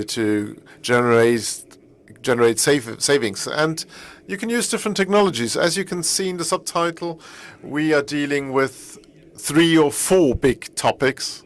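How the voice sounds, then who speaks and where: A middle-aged man with a German accent, a native German speaker, giving a conference keynote in English, earnest and often repeating and rephrasing himself. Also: medium pitch. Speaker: company executive speaking at a press event.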